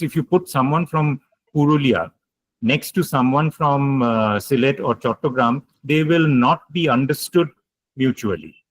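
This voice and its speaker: A man's voice: mid-range voice, no echo, low-quality recording. A man giving an online lecture, explaining points emphatically, repeating key ideas and taking questions. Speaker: guest lecturer.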